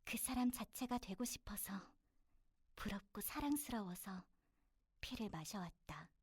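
A woman voicing a wounded teenage villain girl in an anime, bittersweet and sincere, her halting, emotional delivery often breathy and dropping toward a whisper. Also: mid-range voice, expressive, dry recording, clean studio sound. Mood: wistful, fading. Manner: halting, emotional, swinging from intense to tender. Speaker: voice actor recording a sample. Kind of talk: emotional anime voice acting with inner narration.

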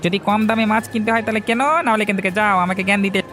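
Cartoon hawker, male actor: greedy, rude young fishmonger, pushy, fast, sharp and bright. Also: high-pitched, level tone. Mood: irritated, rude. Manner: pushy hawker's patter, snappish. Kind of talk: Bengali cartoon voice acting. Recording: music behind the voice.

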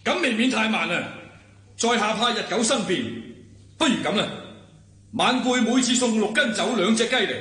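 A man's voice: high voice, animated, normal speed, long pauses, pushed and bright. A male actor with a Hong Kong Cantonese accent playing a greedy, scheming martial arts disciple in a radio drama, obsequious, formally polite and wheedling. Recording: echoey reverberant chamber sound.

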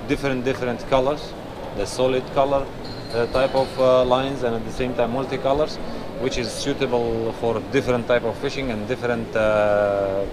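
A man in his thirties hosting a walkaround review of a fishing expo, talking with many "uh"s and restarts, recorded on a chest-mounted camera in a large indoor exhibition hall. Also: medium pitch. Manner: filler-heavy, frequent "uh" and restarts. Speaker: fishing channel host. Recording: noisy expo hall ambience. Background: hall ambience and air conditioning hum.

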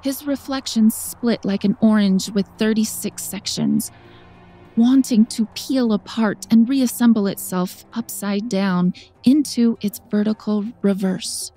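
A woman's voice: mid-range voice, unhurried, mellow, soft background music. A woman narrating a story for an audiobook in a scripted style.

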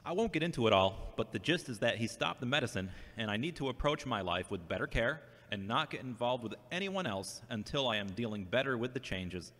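A man's voice: mid-range voice, animated, fairly quick, few pauses, a bit bright. A man playing an earnest, devout, searching younger brother who questions himself, in an amateur audio drama. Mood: earnest, reasoning. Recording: reverberant hall-like sound.

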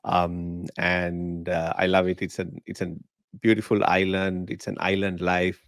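Man, deep voice, gravelly edge, relaxed chatty guest, dry close mic at home. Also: unhurried, dry recording. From Sri Lanka. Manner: relaxed, chatty, laughs often. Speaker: podcast guest. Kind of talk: casual interview chat. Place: home living room. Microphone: podcast mic in frame, video call.

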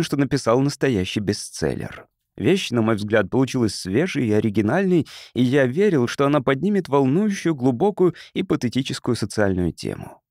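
Male narrator for literary audiobook; mellow, animated intonation, measured storytelling, dry close mic. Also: medium pitch, no echo. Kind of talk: scripted literary narration, reading a science fiction story.

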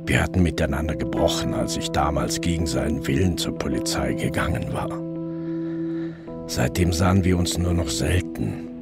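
An actor playing a weary divorced police inspector in his forties, narrating a crime radio drama in a sombre, measured, reflective way. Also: extremely low pitch. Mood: melancholy, reflective. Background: music.

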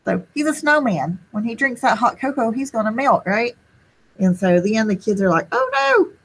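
A young woman with a husky voice reads a picture book aloud to children, pausing to explain it in simple words. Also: fairly high pitch, rough recording. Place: small indoor room.

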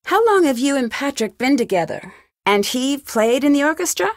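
Game heroine: curious young amateur sleuth, conversational and questioning, on the higher side, very dry recording. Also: female voice, big pitch swings, moderate pace, no echo. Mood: probing. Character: inquisitive, friendly young female amateur sleuth. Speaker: game protagonist. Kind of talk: video game dialogue lines.

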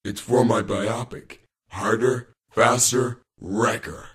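A man's voice: mid-range voice, fairly even intonation, slow, fairly bright tone. A man voicing an unhinged, battle-hungry robot soldier with a swaggering, sardonic manner. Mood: cocky swagger. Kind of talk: fan voice reel, character acting.

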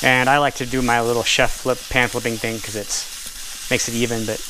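A man giving a relaxed, casual cooking demonstration at a kitchen stove, explaining each step with the odd filler and self-correction. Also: mid-range voice, moderate pace, noisy background. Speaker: cooking and nutrition instructor. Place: home kitchen at a gas stove, seen from overhead.

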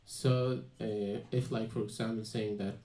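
A man's voice: fairly low pitch, little pitch movement, a little slow, mellow. Young Palestinian man, hesitant, echoey room. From Gaza, Palestine. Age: young adult. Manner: hesitant, many fillers and restarts. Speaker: panel guest, student. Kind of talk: personal account at a panel. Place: small dim venue with live audience.

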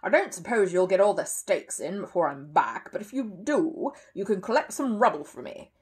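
A woman voicing a gruff, bossy older male neighbour who barks his orders loudly. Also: mid-range voice, big pitch swings, moderate pace, natural voice. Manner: loud, barking, stern.

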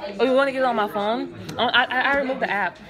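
A woman's voice: a little high, rapid-fire, crowd noise. A teenage girl vlogging on her phone in a school library, chatty and laughing with friends. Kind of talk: casual chat. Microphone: phone at arm's length.

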